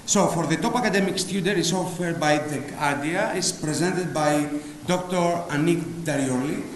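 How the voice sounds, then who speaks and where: A man's voice: a little high, normal speed. A man with a Greek accent hosting a formal graduation ceremony and announcing prizes. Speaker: ceremony host presenting prizes. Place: large hall at a graduation ceremony. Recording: echoey hall, loud crowd and noise. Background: audience crowd noise.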